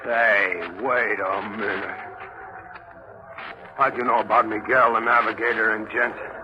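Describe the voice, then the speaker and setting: A man's voice: on the low side, level tone, unhurried, music in the background, lightly acted. An actor playing a weary, skeptical, plain-spoken first mate telling his tale in a 1940s radio mystery. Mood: weary, puzzled. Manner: plain-spoken, slangy storytelling. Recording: muffled 1940s radio recording.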